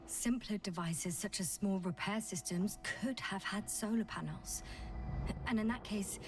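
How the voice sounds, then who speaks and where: A woman playing a composed scientist in a video game, explaining with patient, measured and analytical precision. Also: medium pitch, background noise, lightly acted. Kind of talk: video game dialogue acting.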